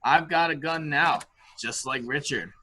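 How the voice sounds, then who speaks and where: An actor plays a childlike, dangerous grown man in an amateur stage-style mystery. His voice is extremely bright and forceful, with a boyish, taunting eagerness. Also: on the high side, a little slow, slight background noise. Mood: gloating, taunting. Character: childlike, unstable grown man thrilled by guns and killing. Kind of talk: amateur radio-play acting.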